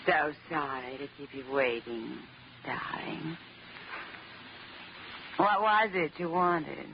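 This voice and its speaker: An actress playing a flighty, gushing rich society wife in a 1940s radio drama, with a breathy, affected drawl. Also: female voice, deep voice, slow, noisy background. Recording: muffled old radio broadcast.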